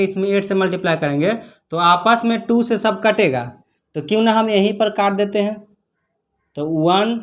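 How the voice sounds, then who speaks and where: A man teaching chemistry step by step as an instructor, repeating his points for students. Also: sits high. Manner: repetitive, instructive. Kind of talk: step-by-step exam problem lesson.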